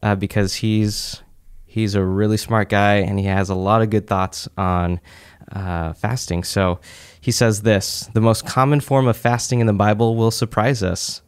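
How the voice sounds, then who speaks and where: A young man giving a casual, conversational Bible teaching talk in a small office, speaking into a boom-arm studio mic with frequent fillers. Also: measured pace, clear, resonant tone. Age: young adult. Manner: relaxed, conversational, many "uh" and "like" fillers. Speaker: church teaching podcast speaker. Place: small office room, indoors.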